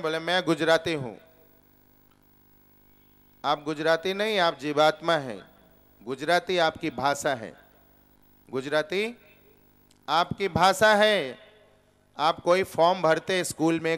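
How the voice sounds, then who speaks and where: A man in his forties giving a spiritual discourse in a temple hall, teaching slowly and deliberately with rhetorical questions into a stand microphone. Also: fairly high pitch, fairly bright tone, smooth. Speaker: preacher. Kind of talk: Gita discourse. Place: temple hall with seated audience, indoors.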